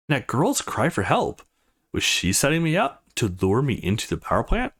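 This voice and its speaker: Visual novel protagonist, read by a man: brooding young male, mid-pitched, very varied intonation. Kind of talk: reading visual novel inner monologue.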